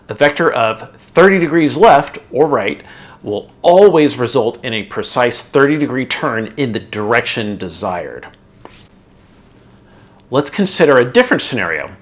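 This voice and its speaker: A man delivering scripted, methodical instructional narration, leaning on transition words as he explains step by step. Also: mid-range voice. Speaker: instructor, video host.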